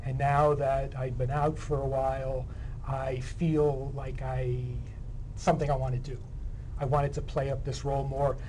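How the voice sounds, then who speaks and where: A man answering interview questions as a playwright guest, conversational, with halting and hesitant phrasing. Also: medium pitch, level tone, mellow, low-quality recording. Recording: faint background room noise.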